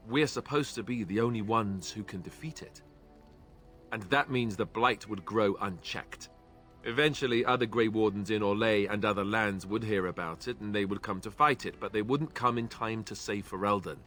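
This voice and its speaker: A man voicing a young male warrior companion who talks in a plain, steady, slightly glum way. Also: fairly low pitch, normal speed, soft background music. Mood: resolute.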